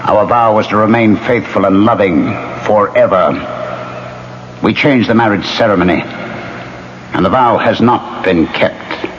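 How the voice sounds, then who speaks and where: A man's voice: lowish voice, unhurried, close to an everyday voice. An actor playing a bitter, tormented male ghost in a 1970s radio drama, speaking with theatrical, menacing deliberation. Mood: vengeful anguish. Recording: muffled, faintly hissy old radio recording.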